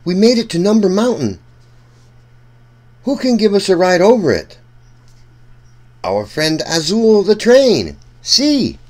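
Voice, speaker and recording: A man reading a storybook aloud for children in an animated, deliberate way, speaking directly to young listeners. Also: sits high.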